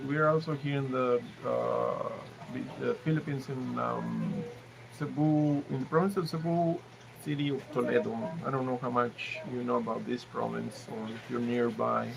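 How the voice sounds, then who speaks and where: Gravelly man. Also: medium pitch, fairly even intonation. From Mexico. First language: Spanish. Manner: halting, with frequent restarts and pauses. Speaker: live stream host. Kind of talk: casual live stream chat. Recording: noticeable background noise.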